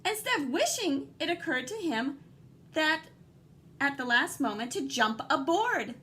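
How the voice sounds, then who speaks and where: High-voiced woman reading aloud to children. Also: a little slow. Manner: animated, dramatic emphasis, guiding and questioning. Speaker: teacher reading a picture book aloud. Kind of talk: expressive children's read-aloud with asides to young listeners.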